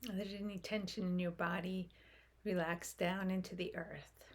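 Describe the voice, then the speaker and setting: A woman leading a guided meditation in a soft, breathy voice, speaking gently in short phrases with long pauses. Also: fairly low pitch, little pitch movement. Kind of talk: guided meditation, slow unfolding instruction.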